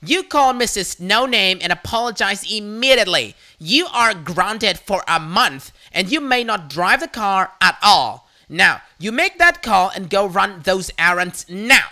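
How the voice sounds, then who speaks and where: A narrator voicing a loud, bossy, entitled middle-aged mother who scolds and commands. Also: very animated, unhurried, full character voice. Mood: angry. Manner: shrill scolding, domineering.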